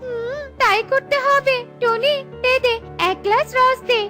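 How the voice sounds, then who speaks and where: A woman voicing a fussy female parrot in a cartoon who complains loudly about bad food. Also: very high voice, fairly even intonation, a little slow, voice pushed up, full character voice. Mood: demanding. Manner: disgusted, complaining. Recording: with light background music.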